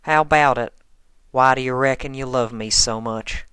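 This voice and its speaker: A performer voicing a plain-spoken country farm mare pony in a fan story, blunt, folksy and calm. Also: mid-range voice, moderate pace, clear, resonant tone, no room sound, natural voice. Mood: stern, probing.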